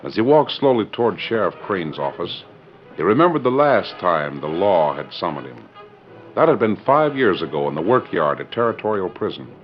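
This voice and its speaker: Male narrator for vintage radio western, very low voice, animated dramatic delivery, dull old recording. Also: normal speed, faint music behind.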